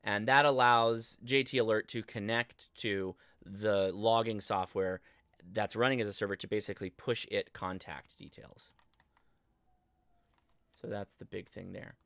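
A man's voice: on the low side, steady tone, a bit bright, clear, resonant tone, no room sound. American man hosting a casual live tutorial. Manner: conversational, halting, thinking aloud while demonstrating. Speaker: livestream host and ham radio instructor. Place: indoor home studio desk, livestream.

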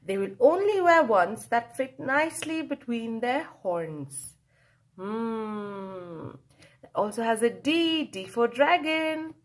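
High, animated woman reading to children. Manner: expressive, playful, drawn-out emphasis on key words. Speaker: children's storyteller. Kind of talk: animated picture-book read-aloud for children.

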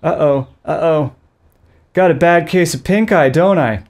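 A man's voice: a little high, a little slow, a little mellow. Playful man hosting a tech tutorial. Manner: playful, goofy asides. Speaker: video tutorial host. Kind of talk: loose, jokey tech tutorial. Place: small studio with a blue backdrop. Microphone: studio mic on a boom arm, close.

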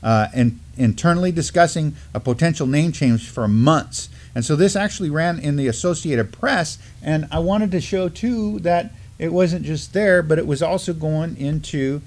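A middle-aged man co-hosting a community news show, talking casually and haltingly as he thinks aloud. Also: a little high. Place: indoor studio desk, backdrop.

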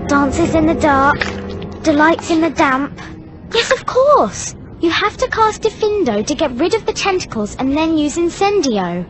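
A woman's voice: high voice, very animated, measured pace, faint music behind, rough recording. A woman playing a bookish, bossy young witch in a video game, with an English accent and a prim, emphatic manner. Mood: urgent. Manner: prim, emphatic, know-it-all. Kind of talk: video game cutscene acting.

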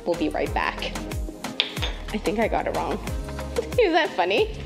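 A woman in her thirties chats through a recipe from her home kitchen, loose and relaxed, dropping fillers as she goes. Her voice sits on the lower side, and clear music plays under it. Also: measured pace. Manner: chatty, relaxed, frequent fillers. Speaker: host and tech sales lead. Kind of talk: casual cooking vlog and pitch.

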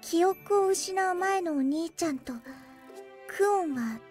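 A woman playing a quiet, devoted young girl in an anime audio drama, speaking in terse, understated phrases. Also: very high voice, takes it slowly. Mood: quiet, earnest. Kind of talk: Japanese anime drama CD acting. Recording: childlike tinkling music behind voice. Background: tinkling background music.

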